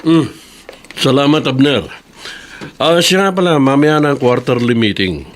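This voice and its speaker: Man playing a chill filipino boss, approving, normal mid pitch, radio drama. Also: unhurried, close to an everyday voice. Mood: pleased. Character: appreciative, easygoing male office boss.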